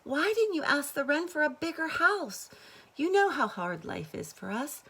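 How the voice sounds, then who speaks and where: A woman's voice: high-pitched, sing-song, moderate pace, gravelly, pushed and bright, voice pushed up, exaggerated voice. A woman voicing a greedy, demanding peasant mother in a storybook, scolding and weary.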